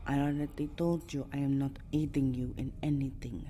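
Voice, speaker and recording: A low, mellow female vampire, acted by a woman, seductive yet guarded, in an intimate ASMR roleplay. She speaks in formal, dramatic phrases and often drops to a breathy whisper. Faint noise sits behind the voice. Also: level tone, moderate pace. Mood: accusing. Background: soft ambient sound.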